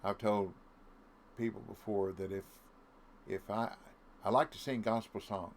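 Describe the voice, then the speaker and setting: A man with a deep voice giving casual commentary on his own gameplay, muttering to himself and humming nonsense tunes. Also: fairly even intonation, measured pace, noisy background. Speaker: gaming YouTuber.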